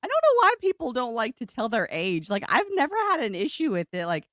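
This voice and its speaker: A woman in her thirties, sick, with a low voice often taken for a man's, chatting casually on a livestream. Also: wildly swooping pitch, fairly quick, a bit bright, dry recording, clean studio sound. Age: 30s. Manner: chatty, rambling with fillers. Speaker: guest streamer.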